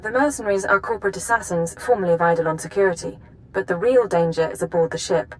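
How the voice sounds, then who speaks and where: A woman playing a resolute, commanding intelligence chief who gives serious, measured briefings in a video game. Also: fairly low pitch, darkish tone, slight background noise, close to an everyday voice, low-quality recording. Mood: grave, urgent. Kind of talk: video game dramatic acting.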